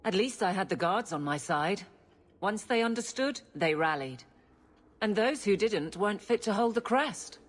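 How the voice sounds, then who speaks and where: An actress playing a dutiful captain of the city guard in a video game, with a dry, matter-of-fact manner. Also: female voice, medium pitch, expressive, moderate pace, natural voice. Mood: firm, candid. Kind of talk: video game cutscene acting.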